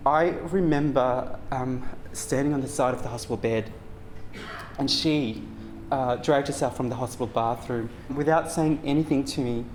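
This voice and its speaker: A young man from Cambodia, telling his story as a business founder in a soft, earnest documentary narration with frequent pauses. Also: medium pitch, a little hiss or hum. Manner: soft-spoken, earnest, pausing with frequent ums. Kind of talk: documentary testimony and reflective narration.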